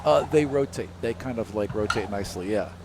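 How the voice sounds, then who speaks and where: Man game-mastering over clear background noise. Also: on the low side, fairly quick. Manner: conversational, improvised narration. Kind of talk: narrating a tabletop game.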